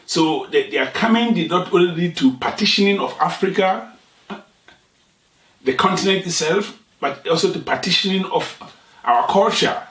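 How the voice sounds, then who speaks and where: A Ghanaian man who grew up speaking Twi hosts a satirical talk segment in English, in a voice pitched slightly high. He explains things at an unhurried pace, pausing and restarting. He sits a little back from the mic in a roomy, echoing space. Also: animated, fairly bright tone, recorded from a distance. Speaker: show host.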